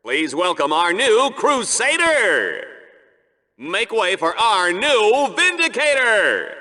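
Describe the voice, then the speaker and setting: A man's voice: very high-pitched, pushed and bright, heavily acted. An actor playing a flamboyant, vain, manic male announcer in a video game, commentating in a hammy, mock-dramatic way. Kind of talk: over-the-top video game sports commentary.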